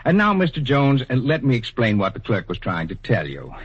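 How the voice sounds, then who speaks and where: An actor playing a patient, kindly bureaucrat in 1940s radio drama, measured and officious with small hesitations. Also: male voice, mid-range voice, wildly swooping pitch. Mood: patient, official. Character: patient, kindly, bureaucratic male superintendent of the afterlife. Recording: dull, husky old radio recording.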